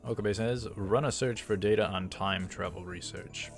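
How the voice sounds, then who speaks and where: A man's voice: lowish voice, moderate pace. A man hosting a let's play, reading a visual novel script aloud as narrator and for its characters. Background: game music.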